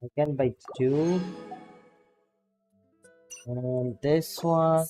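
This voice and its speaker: A man's voice: on the high side, fairly even intonation, drawn-out words, dark tone, soft background music. A man streaming a game as a VTuber, chatting casually with a friend, laughing often and reacting to game results. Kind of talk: casual gaming stream chat.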